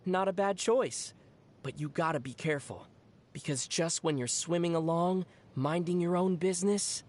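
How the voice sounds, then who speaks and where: An actor playing a calm young traveler in video game dialogue, with measured explanations and a dry, deadpan, teasing wit. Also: mid-range voice, close to an everyday voice. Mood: teasing suspense.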